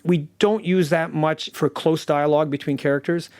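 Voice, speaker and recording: A man speaks in an interview as a sound designer, in a slightly high voice. He explains his work earnestly and leans on key words for emphasis. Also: fairly even intonation, moderate pace, a little mellow, a little hiss or hum. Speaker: audio drama sound designer.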